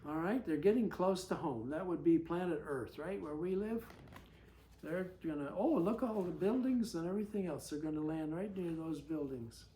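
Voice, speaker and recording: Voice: medium pitch, lively intonation, normal speed, dark tone, roomy sound, a little hiss or hum, rough recording. A storyteller reading a picture book aloud to children, breaking off for folksy, conversational asides. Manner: folksy, conversational commentary between read lines.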